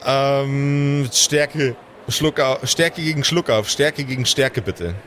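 A man's voice: mid-range voice, slow pace, fairly bright tone. A man, speaking colloquially as the game master of an improvised tabletop game. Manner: colloquial, swears casually.

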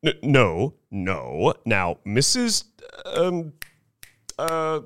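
Narrator acting evasive smooth-talking man for audio fiction, murmuring, easily flustered, slow and very animated. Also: medium pitch, no room sound, studio quality, pitched above the performer's own voice. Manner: placating, stammering, murmuring. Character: evasive, falsely serene male swindler, easily flustered.

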